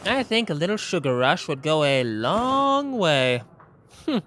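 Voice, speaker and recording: Casting a performer for a boastful, playful young male sleuth, very animated delivery, soft ASMR roleplay. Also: mid-range voice, measured pace, slight background noise. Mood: smug. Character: smug young male genius detective, candy-loving.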